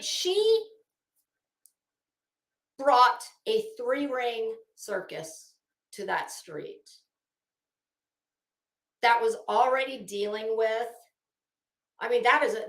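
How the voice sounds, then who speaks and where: A woman's voice: fairly high pitch, fairly bright tone. A woman giving casual, sarcastic commentary as a livestream host, with a theatrical, exasperated and mocking delivery. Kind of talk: casual commentary on a legal case, chatting with viewers. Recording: echoey room.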